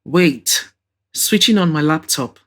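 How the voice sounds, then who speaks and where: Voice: mid-range voice, expressive, slow pace, fairly bright tone. Woman acting as anxious young woman, hopeful, earnest, confiding, audio drama heroine. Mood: nervous.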